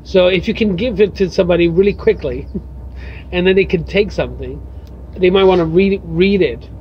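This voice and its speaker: A man's voice: high voice, moderate pace, highs cut off, slight background noise. A man, a poet and teacher, answering interview questions outdoors by a bar, thoughtful and hesitant with many fillers. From Brazil. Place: outdoors by a bar in light rain.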